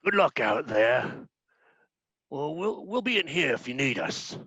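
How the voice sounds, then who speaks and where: Man as breezy orc captain, fantasy comedy, raspy, animated, dry close sound. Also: medium pitch, unhurried, no echo, lo-fi sound, exaggerated voice. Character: breezy, stress-free orc captain, officious and jovial. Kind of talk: fantasy comedy table read.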